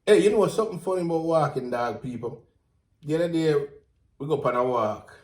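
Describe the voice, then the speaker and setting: A man's voice: fairly high pitch, animated, brisk, darkish tone. Teasing Jamaican man in an echoey room. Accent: Jamaican, switching into patois. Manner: teasing, mock-serious. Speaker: co-host. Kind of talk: playful banter.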